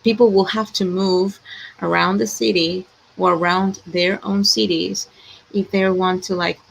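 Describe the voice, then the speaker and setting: Live chat host: woman, lowish gravelly voice, worried and hesitant. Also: fairly even intonation, unhurried, rough recording. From Ecuador. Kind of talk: casual livestream chat.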